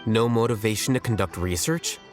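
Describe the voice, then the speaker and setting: A man performs a composed, analytical thinker from a video game. His voice is fairly low, and he speaks in measured, articulate, probing sentences. Game music is clearly audible behind him. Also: lightly acted. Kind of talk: video game dialogue acting.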